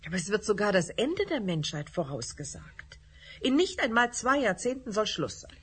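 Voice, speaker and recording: A woman's voice: mid-range voice, big pitch swings, moderate pace, a little hiss or hum, natural voice. An actress playing a woman who hosts a televised science discussion, speaking formally and courteously. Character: woman TV science show host, formal and polite. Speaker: host. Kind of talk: radio drama acting.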